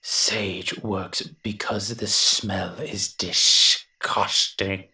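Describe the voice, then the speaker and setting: A man voicing a grumbling, reluctant invisible monster with a secret soft heart in an audio roleplay, dry and sardonic. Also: deep voice, little pitch movement, very slow, heavily acted. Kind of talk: scripted character monologue, audio roleplay.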